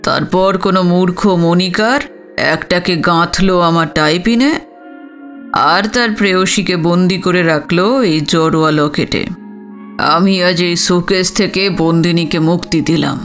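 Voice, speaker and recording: Man acting as flirtatious wealthy businessman, high male voice, unhurried, teasing, audio story, music underneath. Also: a bit bright. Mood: flirtatious, poetic.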